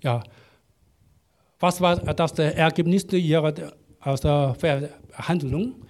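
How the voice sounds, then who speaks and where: A man preaching in German, a language he learned as an adult, halting often with fillers and restarts while an interpreter follows. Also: on the high side, a little mellow. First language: not German. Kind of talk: sermon with live interpreter.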